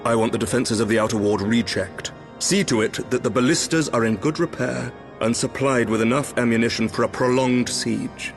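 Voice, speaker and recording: An actor playing a noble, gracious lord commander in a fantasy game, speaking courtly archaic English with deliberate authority. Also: male voice, mid-range voice, soft background music, lightly acted. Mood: commanding.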